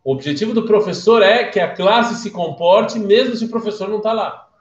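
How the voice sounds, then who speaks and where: A man's voice: sits high, fairly quick, muffled recording. Chatty Brazilian man teaching in an echoey room. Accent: Brazilian Portuguese. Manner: chatty storyteller, questions the listeners. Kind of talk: casual live-streamed class.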